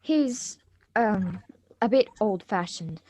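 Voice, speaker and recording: A woman's voice: mid-range voice, lively intonation, slow pace, mellow. A woman playing a polite, evasive, bookish schoolgirl in a live radio drama reading, with a hesitant, stammering delivery. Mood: flustered.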